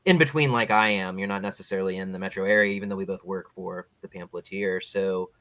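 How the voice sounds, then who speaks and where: A man's voice: sits low, steady tone, fast talker, a bit bright, no echo. A man hosting a podcast, talking with guests in a casual, conversational interview style.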